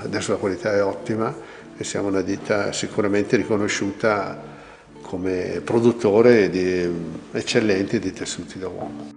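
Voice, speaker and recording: Older man, gravelly and low. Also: in a large hall. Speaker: textile mill owner. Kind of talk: documentary interview recollection. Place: indoors, seated in a wood-panelled office. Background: plucked guitar music.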